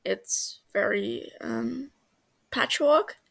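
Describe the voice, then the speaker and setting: A woman narrating a story as an amateur reader, halting a little, with small stumbles and self-corrections. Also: fairly high pitch, gravelly. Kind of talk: storybook-like narration of fan fiction.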